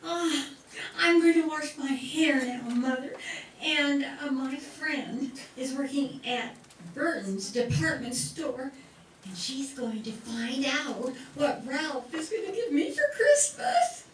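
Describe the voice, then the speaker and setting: A woman's voice: sits high, lively intonation, slow pace. A woman playing a whiny, sulky teenage daughter in an old-time radio comedy. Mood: breezy. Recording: roomy, distant mic, faint noise.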